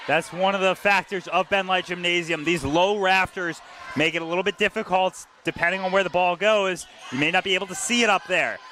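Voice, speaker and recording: A man working as a color commentator on live sports, projecting loudly over the venue. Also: high-pitched, a little fast. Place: indoor gymnasium. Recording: loud gymnasium noise.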